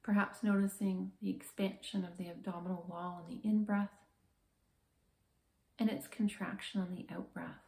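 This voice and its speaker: A woman guiding a body scan meditation in a calm, gentle, measured manner with slow, soothing pauses. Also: mid-range voice, creaky, roomy sound. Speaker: meditation guide, therapist.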